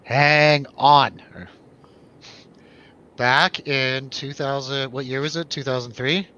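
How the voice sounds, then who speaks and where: Bright-voiced man, dull lo-fi recording. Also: medium pitch, steady tone, takes it slowly. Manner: jokey, irreverent. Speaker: host of a film review show. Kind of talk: casual banter film review. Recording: dull lo-fi, faint background noise.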